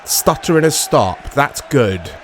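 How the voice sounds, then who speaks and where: A man commentates casually over a football video game at an unhurried pace. His voice is mid-pitched and slightly hoarse from strain, and he talks in short reactive fragments. There is faint noise behind him. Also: lively intonation, some chatter behind. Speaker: gaming channel host.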